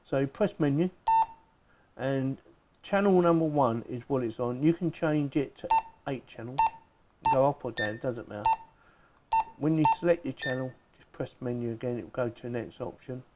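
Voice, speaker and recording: A man's voice: medium pitch, moderate pace, heavy background noise. A middle-aged man with an English accent, giving a casual, chatty hands-on product review in plain, informal words.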